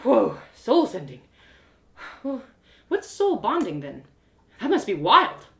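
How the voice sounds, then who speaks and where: A woman voicing an excitable, curious young girl adventurer in a one-woman audio drama, gushing and exclaiming with wide-eyed wonder. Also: on the high side, slow pace, gravelly, echoey room, voice pushed up, full character voice.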